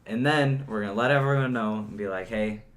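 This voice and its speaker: A teenage male podcast co-host at home, rambling with lots of fillers, his voice not deep. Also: brisk. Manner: rambling, filler-heavy, laughs often. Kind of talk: casual chat.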